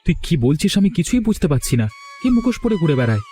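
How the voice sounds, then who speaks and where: A man plays an earnest young student in a cartoon story. The voice is soft-toned and slightly high, moving quickly and with lively swings. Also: faint music behind. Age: young adult. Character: earnest young student son of moneylender, supportive sibling. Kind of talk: Bengali cartoon story dialogue.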